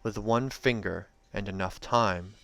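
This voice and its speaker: A hoarse man voicing a bound ancient goddess, speaking slowly and solemnly in a hushed voice. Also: fairly low pitch, voice pushed down.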